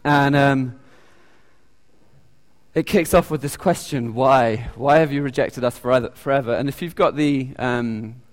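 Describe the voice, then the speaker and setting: A British man preaches in a church hall, and his voice rings with a long, echoey reverb. His pitch sits in the middle range for a man. He speaks haltingly and often pauses on ums as he searches for his words. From United Kingdom. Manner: halting, many ums and restarts. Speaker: lay preacher. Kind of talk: informal sermon with audience questions.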